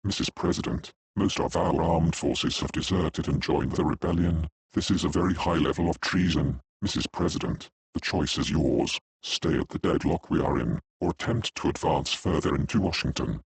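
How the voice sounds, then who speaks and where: A man playing a grave military adviser with a husky voice, formally briefing a leader in a drama. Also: normal speed, hardly any pauses, no room sound, heavily acted. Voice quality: very deep, husky. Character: grave male military adviser reporting to the president.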